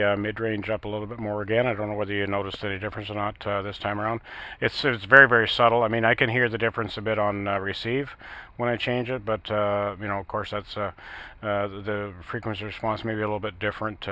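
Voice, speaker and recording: A man speaking as an amateur radio operator in casual technical chat, halting now and then and using radio jargon. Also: lowish voice, flat delivery, sharp tone.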